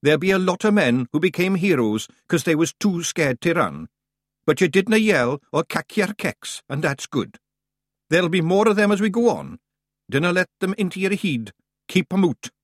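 A rowdy little Scots fairy. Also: male voice, high-pitched, big pitch swings, moderate pace, no room sound, studio quality, pitched above the performer's own voice. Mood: gruff approval. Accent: Scottish. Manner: cheeky, broad dialect, jaunty. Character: tiny rowdy Scots fairy warrior man. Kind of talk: audiobook character voice.

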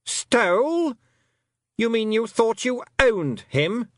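A man voicing a haughty, cold fairy queen who talks in a silky, condescending, drawn-out way. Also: very high voice, sing-song, dry recording, clean studio sound, pitched above the performer's own voice, exaggerated voice. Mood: mocking.

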